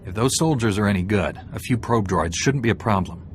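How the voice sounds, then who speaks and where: Game hero: stern, blunt warrior knight voiced by a man, lowish pitch, curt delivery. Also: animated, brisk, a little hiss or hum, natural voice. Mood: stern, dismissive. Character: serious, blunt male Jedi warrior in a space saga. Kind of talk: video game dialogue acting.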